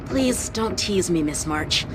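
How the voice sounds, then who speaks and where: An actor voicing a dutiful, boyish young lieutenant in a video game, speaking formally, politely and articulately. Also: male voice, high-pitched, expressive, takes it slowly, very noisy. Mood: polite, grave. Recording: background music under the dialogue.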